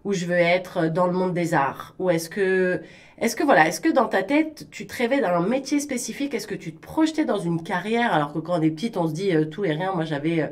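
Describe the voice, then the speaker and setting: A woman's voice: mid-range voice, animated, fairly quick. A woman interviewing a guest on radio in a casual, chatty and informal way.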